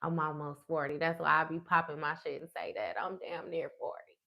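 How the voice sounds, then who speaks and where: A woman's voice: deep voice, steady tone, a little fast, creaky, bright, forceful tone. A woman in her thirties chatting live with her viewers as a tarot host, blunt and profane. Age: 30s. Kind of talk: casual livestream chat with viewers.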